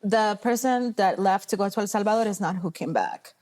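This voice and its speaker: A woman's voice: mid-range voice, a little fast. Young woman sharing a heartfelt story. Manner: warm storytelling, grateful, mixes Spanish words. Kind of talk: casual heartfelt chat.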